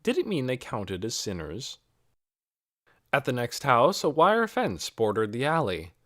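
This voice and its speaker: Expressive man narrating a story. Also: medium pitch, a little slow, no echo, clean studio sound. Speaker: audiobook narrator. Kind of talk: scripted narration of a children's novel.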